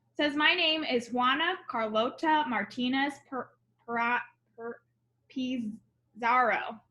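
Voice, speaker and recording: A woman with a bright, fairly high voice reads a picture book aloud like a teacher. She is instructive, stepping out of the text to question her young listeners and point things out. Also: slow pace, dull audio. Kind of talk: storybook read-aloud with asides and questions to children.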